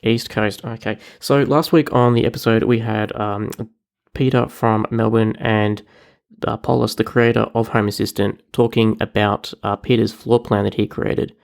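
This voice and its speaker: A man hosting a tech podcast, talking casually and chattily with a relaxed manner. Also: fairly low pitch, moderate pace, vocal fry. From New Zealand. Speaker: podcast host.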